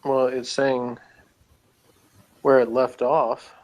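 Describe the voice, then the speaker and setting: Male review co-host, mid-pitched, rambling and matter-of-fact, informal conversation. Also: flat delivery, very slow, a little mellow, rough recording. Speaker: podcast co-host reviewing a TV show. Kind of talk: casual review chat.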